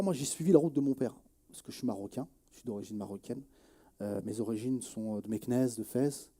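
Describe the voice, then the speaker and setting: A man of about forty speaking in an earnest interview testimony, halting as he searches for words and repeats phrases. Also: mid-range voice, dark tone. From Nièvre, France. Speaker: journalist and activist interviewee.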